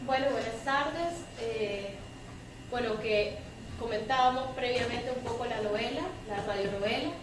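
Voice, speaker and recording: A woman's voice: a little high, a little slow. A woman speaking off the cuff on a panel, explaining with emphasis and frequent tag questions. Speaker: panelist, women's rights advocate. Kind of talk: extemporaneous panel commentary. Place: public debate room with audience. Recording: very echoey hall, distant mic, faint noise.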